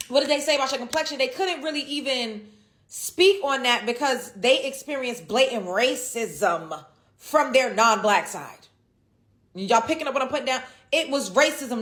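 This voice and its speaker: Woman, higher-pitched, bright forceful voice, sassy, emphatic, casual opinionated commentary. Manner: emphatic, sassy, drawn-out stressed words. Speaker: commentary show host.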